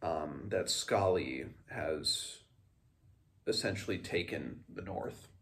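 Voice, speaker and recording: A man hosting a read-along, giving a casual book recap with rambling, halting, self-correcting commentary. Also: low-pitched, lively intonation, fairly bright tone.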